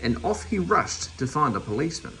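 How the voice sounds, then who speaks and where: A man's voice: fairly high pitch, sing-song, a little fast, fairly bright tone, a little hiss or hum, rough recording. A man reading a children's story aloud as narrator. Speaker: storybook reader.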